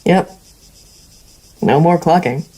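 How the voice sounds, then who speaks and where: Casting: cynical cowgirl for a post-apocalyptic western drama, lowish mellow voice, blunt and dry delivery. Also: takes it slowly, breathy edge, exaggerated voice, low-quality recording. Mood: gruff, impatient. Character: blunt, cynical female ranch hand yearning for a better life. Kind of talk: stage-style audio drama acting.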